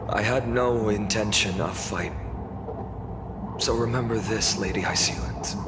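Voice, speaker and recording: Slow, low-voiced weary swordmaster. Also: male voice, background noise. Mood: grim resolve. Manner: slow, solemn, deliberate delivery. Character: grave, weary male swordmaster burdened by fate. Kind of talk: dramatic video game dialogue and narration. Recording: echoey long reverb, loud music behind.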